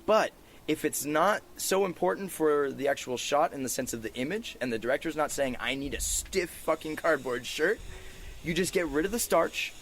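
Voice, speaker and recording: A man's voice: fairly high pitch, a bit bright, a little hiss or hum. A man in a casual podcast conversation, swearing casually, recorded outdoors on a porch. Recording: background ambience outdoors on a porch.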